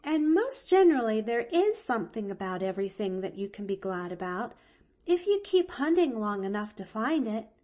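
A cheerful little orphan girl from a storybook, acted by a woman, chattering with eager emphasis. Her voice sits high and swings animatedly up and down, earnest and keen in every phrase. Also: very animated, normal speed, dark tone. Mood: earnest, bright. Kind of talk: expressive audiobook character reading.

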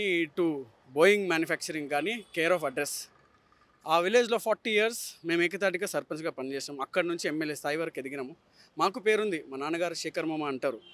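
Man, thirties, Telangana Telugu accent, moderately high voice, politician, interview answers, English mixed in. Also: sharp tone. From Telangana, India. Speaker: politician seeking election ticket. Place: outdoors on a city roadside. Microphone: handheld reporter's microphone.

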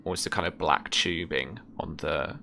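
A man's voice: a bass voice, moderate pace, creaky, pushed and bright. A man in his thirties improvising scene narration as game master, in hesitant, piecemeal phrases, close-miked on a video call. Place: small room at home with bookshelves.

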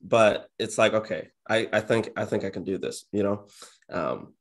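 Man, twenties, lowish pitch, understated monotone manner, casual interview talk, video call. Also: moderate pace. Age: 20s. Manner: low-key, introverted, self-described monotone. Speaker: interview guest, real estate agent and YouTuber. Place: indoor home office, on a video call.

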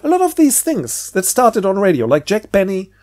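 A man with a slightly high-pitched voice talks through books casually and off the cuff. He speaks haltingly, repeating words and circling back as he rambles through his thoughts. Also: wildly swooping pitch, darkish tone. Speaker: book review video host. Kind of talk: casual unscripted book review.

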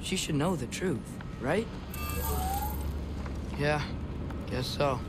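A man's voice: fairly high pitch, slow, very noisy. An actor playing an earnest, well-meaning teenage boy adventurer in a video game, with a pleading way of talking. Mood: earnest, hesitant. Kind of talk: video game dialogue acting.

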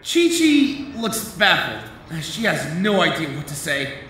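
A man's voice: fairly high pitch, big pitch swings, slow pace, bright, forceful tone, heavily acted. A man playing a wry young inspector narrating his dramatic inner thoughts in an anime-style audio drama, with an echo effect. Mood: wry narration. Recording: heavy echo, faint noise.

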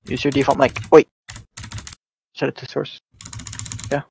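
Slow, halting young man chatting. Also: medium pitch, flat delivery, vocal fry, slight background noise. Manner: hesitant, halting, short replies. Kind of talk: casual chat.

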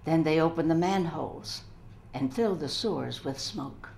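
A somber female survivor, played by an older woman, gives witness in a solemn dramatic reading. Her voice sits fairly low. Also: animated, normal speed, creaky, darkish tone, a little hiss or hum.

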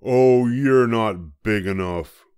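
An older man voicing a small grouchy ladybug in a children's storybook, loud and brash, always spoiling for a fight. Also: medium pitch, slow pace, smooth, no echo, voice pushed up, close to an everyday voice. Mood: dismissive.

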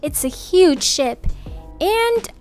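A woman's voice: extremely high pitch, lively intonation, extremely slow, a little mellow, faint music behind, exaggerated voice. A woman playing an upbeat, chatty young mother in an improvised family roleplay, casual, reassuring and gushing.

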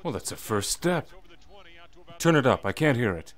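A man's voice: mid-range voice, sing-song, normal speed, close to an everyday voice. A man acting in a radio drama as a flippant, exasperated crook sidekick who chides his partner. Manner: flippant, chiding.

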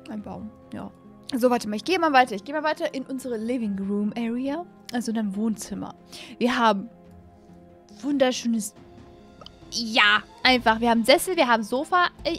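A young woman commentates a game she is playing in a high, very lively voice. She gushes, exclaims and repeats her phrases, dropping in English catchphrases. She speaks close to a desk mic, with faint music behind her. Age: young adult. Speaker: YouTube gaming host. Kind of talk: excited play-along game commentary. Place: small room at home, indoors. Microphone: desk microphone up close.

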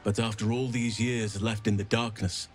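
A man's voice: on the low side, flat delivery, faint music behind, natural voice. Man playing a solemn, devoted druid elf. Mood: grave explaining. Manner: grave, measured, formal diction. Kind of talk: dramatic game cutscene acting.

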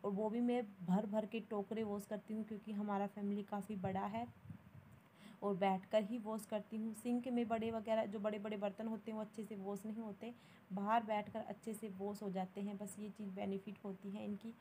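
A woman's voice: medium pitch, little pitch movement, moderate pace, mellow, a little hiss or hum, rough recording. A young woman, narrating her daily routine casually and matter-of-factly, with some English words mixed in. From Haryana, India. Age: young adult. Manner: plain, matter-of-fact, mixing in English words. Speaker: vlogger.

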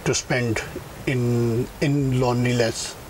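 A man's voice: mid-range voice, level tone, takes it slowly, a little mellow, slight background noise, low-quality recording. A middle-aged man hosting a TV studio interview, polite and formal, with short affirmations between questions. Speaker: talk show host. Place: TV news studio set.

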